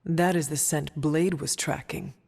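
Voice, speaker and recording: A woman playing an earnest, solemn warrior heroine in a video game, speaking in a formal, measured way. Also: low voice, fairly even intonation, creaky, a little mellow, in a large hall, exaggerated voice. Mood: resolute. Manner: formal, measured, avoids contractions. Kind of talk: video game character acting.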